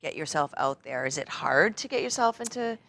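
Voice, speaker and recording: A woman hosts a relaxed chat, her voice low and steady. She keeps the talk going with quick agreement and simple follow-up questions. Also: vocal fry, a little hiss or hum. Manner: encouraging, frequent agreeing interjections. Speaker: interview host, salon owner. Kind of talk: casual interview chat.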